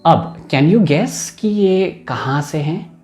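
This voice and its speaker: A man's voice: on the high side, animated, drawn-out words, darkish tone, roomy sound, faint music behind. Chatty Indian man teaching English. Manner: chatty, mixes English into the talk. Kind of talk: casual YouTube English lesson.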